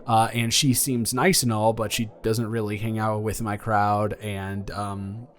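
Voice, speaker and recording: A young man playing a flustered, awkwardly tender ex-boyfriend in an improvised romantic audio roleplay, halting and stammering as he rambles and corrects himself. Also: on the low side, little pitch movement, natural voice. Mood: earnest, awkward. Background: background music.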